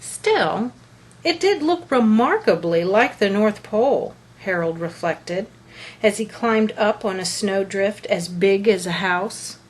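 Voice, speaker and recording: A woman reads a picture book aloud for children at a very slow, measured pace. Her voice sits at a medium pitch and has a soft huskiness to it. She phrases each line deliberately and with expression, as a storyteller does. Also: expressive, husky.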